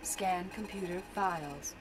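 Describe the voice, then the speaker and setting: A woman's voice: on the low side, a little slow, noisy background, close to an everyday voice. A woman voicing an impassive dispatch computer, giving clipped, impersonal commands in an audio drama game.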